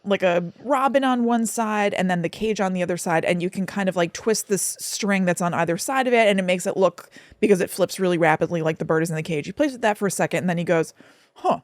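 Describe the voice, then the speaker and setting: A woman's voice: lowish voice, quick pace, no room sound, studio quality. A woman co-hosting a podcast, chatting casually about film in a conversational way, with plenty of "like" and asides. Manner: conversational, frequent "like" and hedging asides. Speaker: podcast co-host.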